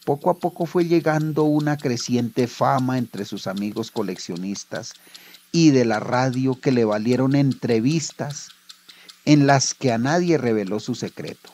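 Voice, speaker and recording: A man reading scripted literary narration in a measured storytelling manner. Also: mid-range voice, a little mellow.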